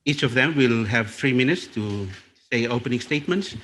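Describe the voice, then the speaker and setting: A man from Fiji facilitating a panel discussion at a lectern, speaking in a measured, deliberate way with small restarts. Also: mid-range voice, a bit bright, a little hiss or hum. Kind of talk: formal event moderation. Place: conference hall. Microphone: lectern microphone.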